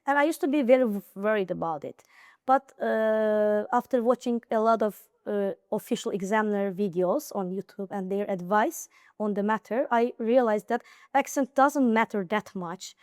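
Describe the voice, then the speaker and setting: A woman's voice: medium pitch, moderate pace, a little mellow, smooth, dry recording, studio quality. A young woman from Azerbaijan with a Nakhchivan accent in English, an interview guest giving earnest study advice with frequent fillers and restarts. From Azerbaijan, Nakhchivan region. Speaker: interview guest, IELTS high scorer. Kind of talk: casual interview conversation.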